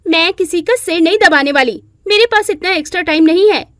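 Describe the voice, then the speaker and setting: A woman's voice: very high voice, fairly quick, fairly bright tone, no room sound, studio quality, pitched above the performer's own voice. A woman voicing a rich, jealous, scheming daughter-in-law in a moral story, scornful and boastful. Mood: irritated, plotting. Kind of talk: Hindi moral-story character acting.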